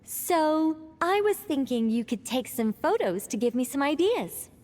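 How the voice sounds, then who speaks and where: A woman's voice: high-pitched, very animated, measured pace, darkish tone, soft background music. A woman voicing a whimsical, self-important female mage in a video game, theatrical, musing and grandly commanding. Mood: musing, polite.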